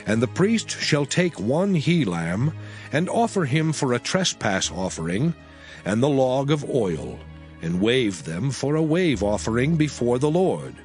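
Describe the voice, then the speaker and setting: A man reading scripture aloud in a measured, solemn recitation. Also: medium pitch. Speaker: scripture reader. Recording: faint background music.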